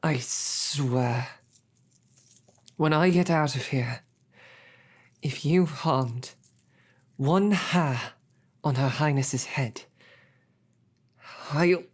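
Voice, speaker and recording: A woman's voice: deep voice, drawn-out words, long pauses, breathy edge, full character voice. A woman playing a snarky, defiant captive knight loyal to her princess, speaking in formal, chivalrous phrases with dry sarcasm in an audio drama. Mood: defiant, stern. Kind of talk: scripted audio roleplay drama.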